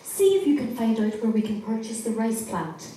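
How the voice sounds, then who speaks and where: Woman playing a measured pantomime captain, very echoey. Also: a little high, very animated, mellow, slight background noise. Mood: reassuring. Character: kindly, commanding young ship captain Lorenzo, pantomime hero. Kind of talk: scripted pantomime stage acting. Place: theatre stage. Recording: distant, very echoey hall recording.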